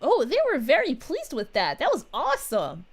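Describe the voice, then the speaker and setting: A woman's voice: high voice, wildly swooping pitch, normal speed. A woman streaming as a cheerful princess character, greeting her chat in a bubbly, giggly, sing-song voice.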